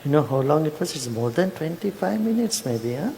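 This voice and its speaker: A man leading a guided meditation, then chatting with a crowd in a soft, slow manner with small "huh?" asks. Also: fairly high pitch, big pitch swings, creaky, slight background noise. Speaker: meditation teacher. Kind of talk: guided meditation and public address.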